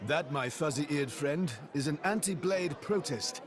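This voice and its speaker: An actor voicing a chirpy, condescending young highborn envoy with a British accent, in a theatrical game cutscene style. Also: male voice, a little slow, a crowd in the background, lightly acted. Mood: haughty, exasperated. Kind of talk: video game cutscene acting.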